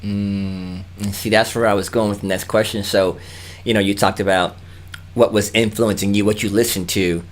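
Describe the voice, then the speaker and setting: A man's voice: fairly low pitch, fairly even intonation, moderate pace. A man hosting a podcast interview in a playful, enthusiastic way, often laughing and teasing his guest. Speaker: podcast host. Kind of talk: casual interview.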